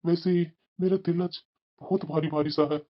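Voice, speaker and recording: A man's voice: fairly high pitch, flat delivery, moderate pace, close to an everyday voice. A man voicing a burdened, irritable father in a cartoon moral drama, aggrieved and complaining, on a muffled recording. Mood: dejected. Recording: dull, muffled lo-fi sound.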